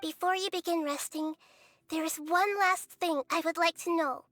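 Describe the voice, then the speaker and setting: An actress voicing a young-looking goddess in a game, calm, gentle and wise, with a soft, childlike voice. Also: female voice, very high voice, normal speed, dry recording. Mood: earnest.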